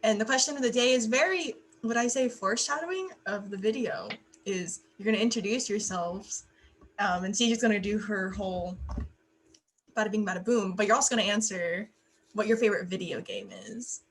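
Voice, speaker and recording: A woman's voice: mid-range voice, a little fast. A young adult woman co-hosting a casual podcast over a video call, chatty and rambling with lots of fillers. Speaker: podcast co-host. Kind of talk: casual chat.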